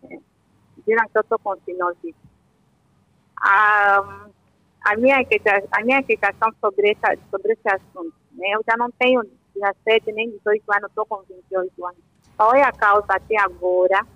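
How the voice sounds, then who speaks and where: A woman's voice: medium pitch, moderate pace, pushed and bright, no room sound. A 28-year-old woman with an Angolan accent, calling a radio phone-in and casually explaining a personal problem to a doctor. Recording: dull lo-fi phone line.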